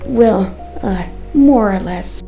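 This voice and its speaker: A woman's voice: mid-range voice, slow, gravelly, warm tone, recorded from a distance. A woman narrating a scripted first-person story as its storyteller. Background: music.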